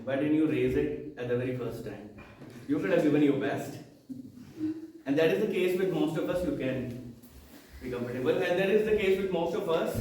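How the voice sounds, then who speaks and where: A man's voice: mid-range voice, darkish tone. A man from Haryana with an Indian English accent, whose first language is Haryanvi, giving a motivational speech to a club audience and quizzing them with rhetorical questions. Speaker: guest speaker at a club installation ceremony. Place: meeting hall, indoors. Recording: very echoey room, mic far off.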